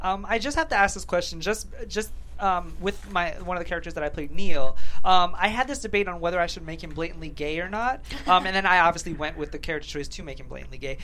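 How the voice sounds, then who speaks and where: A man's voice: sits high, fast talker, hardly any pauses, sharp tone. A man chatting casually on an actors' panel, circling hesitantly around his question, with noise in the recording. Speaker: actor on a table-read panel. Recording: clearly noisy room sound.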